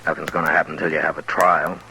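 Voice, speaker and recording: An actor playing a stern, steady U.S. marshal in an old-time radio western, measured, authoritative and plain-spoken. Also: male voice, rumbling low, slight background noise, heavily acted, rough recording. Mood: questioning, steady.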